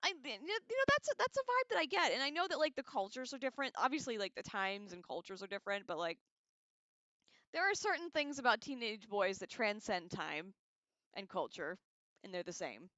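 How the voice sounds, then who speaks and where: A woman's voice: a little high, big pitch swings, a little fast, sharp tone, dry recording. A woman hosting a casual, rambling livestream discussion with an irreverent, slangy manner, on a slightly dull microphone. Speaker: livestream host.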